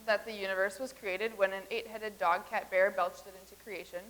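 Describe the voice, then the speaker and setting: A woman's voice: medium pitch, steady tone, fairly quick, fairly bright tone, lightly acted. A woman reading the part of a visitor from the far future in a staged comedy reading, explaining everything in an earnest, matter-of-fact way.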